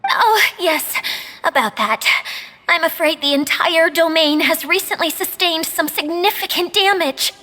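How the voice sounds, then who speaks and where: A woman's voice: extremely high pitch, bright, forceful tone, heavily acted. A woman voicing a flustered, self-mocking young female domain keeper, dramatic in a video game cutscene. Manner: dramatic, quick swings into embarrassed panic. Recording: game dialogue over music.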